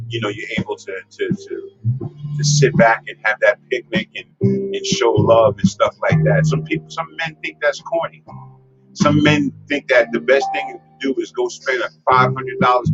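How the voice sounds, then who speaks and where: A man's voice: mid-range voice, fairly even intonation, moderate pace. Rambling man with a strong voice. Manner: rambling, many fillers and restarts. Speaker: host. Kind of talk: casual advice livestream. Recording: lo-fi with music behind. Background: guitar music.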